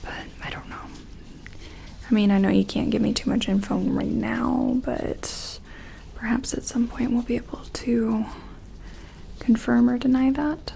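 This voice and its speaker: Female ASMR roleplayer as a gentle, careful sci-fi examiner: unhurried, mellow, half-whispered, reassuring, with faint noise behind. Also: a little high, fairly even intonation. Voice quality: soft, breathy, often dropping to a whisper. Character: gentle, careful woman scientist examining an alien.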